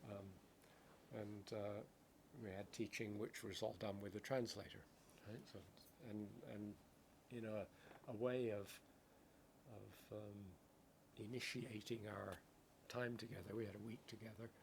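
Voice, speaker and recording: A male meditation teacher gives an unhurried, contemplative talk and lets poetic images unfold at a very slow pace. He often pauses to check in with his listeners with a quick "right?". A faint hiss sits quietly behind his voice. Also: fairly low pitch, level tone, gravelly. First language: English.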